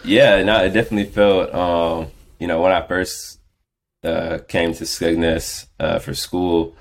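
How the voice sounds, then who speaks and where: Very deep-voiced American man, halting. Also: little pitch movement, a little hiss or hum. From born in England, raised in the United States. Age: 20s. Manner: halting, many fillers and restarts. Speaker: guest. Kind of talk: casual conversation.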